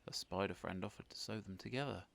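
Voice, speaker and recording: A man narrating a children's storybook in an expressive read-aloud style, with faint noise behind. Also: on the low side, fast talker, vocal fry.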